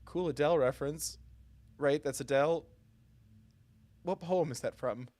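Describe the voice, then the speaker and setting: A man's voice: fairly high pitch, normal speed, darkish tone. A man doing casual, jokey and self-deprecating live commentary while playing a game. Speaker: livestreamer playing and reading aloud a visual novel.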